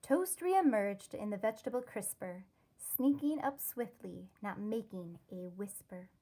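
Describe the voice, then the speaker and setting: A woman teacher reading a rhyming children's story aloud, expressively and with deliberate, careful wording. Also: medium pitch, animated, warm tone.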